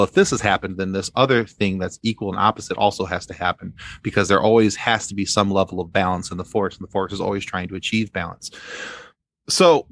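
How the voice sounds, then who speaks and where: A man speaking as a podcast guest in a casual fan discussion, explaining things in a halting, rambling way. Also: on the low side, fairly bright tone, no room sound.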